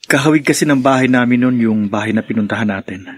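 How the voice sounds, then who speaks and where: Embittered elder brother male actor, radio drama: husky mid-range voice, heavy with sorrow, close and dry. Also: mid-range voice, quick pace, no echo, lightly acted. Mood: brooding. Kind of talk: Filipino radio drama acting.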